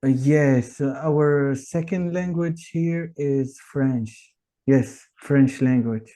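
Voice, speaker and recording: Slow, mellow-voiced Tunisian man. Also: medium pitch. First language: Tunisian Arabic. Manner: hesitant, searching for words, many fillers. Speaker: guest.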